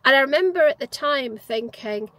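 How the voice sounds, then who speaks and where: A Geordie woman in her fifties talks from the driver's seat of a parked car into a clip-on mic. Her voice sits a little high. She tells her story in a chatty, reflective way and often starts a phrase over. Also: lively intonation, moderate pace, a bit bright, slight background noise. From North East England. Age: 50s. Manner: chatty, reflective, with frequent restarts. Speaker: vlogger. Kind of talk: casual personal storytelling. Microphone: lapel mic.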